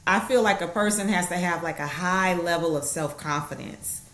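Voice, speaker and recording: A woman's voice: fairly low pitch, normal speed, fairly bright tone. A woman hosting a podcast interview, asking long questions and often answering with short agreements. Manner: affirming, frequent short agreements. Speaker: podcast host. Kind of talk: interview conversation. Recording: roomy echo.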